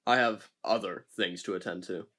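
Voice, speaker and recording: A woman's voice: very deep voice, moderate pace, fairly bright tone. A woman voicing a cold, distrustful ship captain who talks curtly in an amateur audio drama. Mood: stern. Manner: cold, curt, disapproving.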